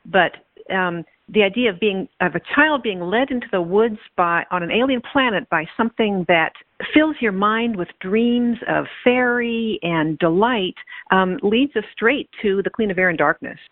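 A woman's voice: medium pitch, normal speed, no echo. Woman on a tinny phone line. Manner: thoughtful, hesitant with many ums. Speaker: guest, author's daughter. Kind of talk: casual book discussion.

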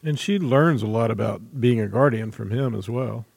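A man hosting a conversational book interview, asking questions in a tentative, halting way. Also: medium pitch, creaky, a little mellow, breathy edge, no room sound. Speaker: interviewer, host.

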